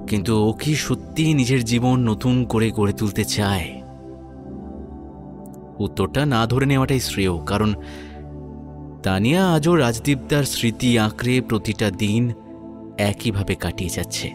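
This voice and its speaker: A man narrating a first-person audio story and acting its dialogue, in a measured, earnest way. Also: mid-range voice. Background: new-age music.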